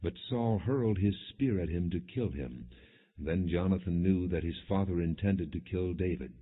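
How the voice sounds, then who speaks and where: A man narrating scripture as an audiobook, reading the story and its quoted dialogue in a measured, expressive way. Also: low voice, breathy edge. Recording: muffled narrowband, phone-like audio.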